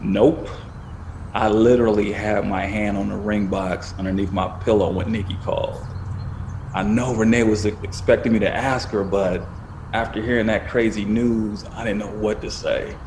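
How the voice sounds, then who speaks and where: A man's voice: fairly low pitch, fairly even intonation, moderate pace, background noise, lightly acted. A man acting in an audio drama as a conflicted young man casually confiding his troubles to a friend. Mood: stressed. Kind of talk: naturalistic scripted audio drama.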